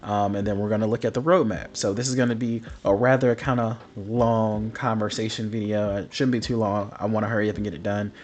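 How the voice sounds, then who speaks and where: A man's voice: fairly low pitch, steady tone, fairly quick, hardly any pauses, darkish tone. Sick, rambling gaming host man. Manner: rambling, apologetic, many fillers. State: sick, partly lost voice. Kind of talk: casual commentary over patch notes. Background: faint background music.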